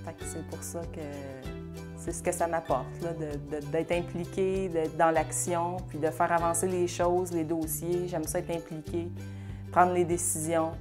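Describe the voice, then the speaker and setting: A woman's voice: on the low side, little pitch movement, unhurried. A woman in her thirties with a Québécois accent, speaking earnestly as an award honoree, with frequent fillers. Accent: Québécois French. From Quebec. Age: 30s. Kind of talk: prepared testimonial. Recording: music bed behind the voice.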